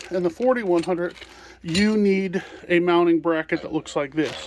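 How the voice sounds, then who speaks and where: Casting: male product presenter, notably high-pitched, informal hands-on walkthrough delivery. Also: slight background noise. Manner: hands-on explaining while handling items.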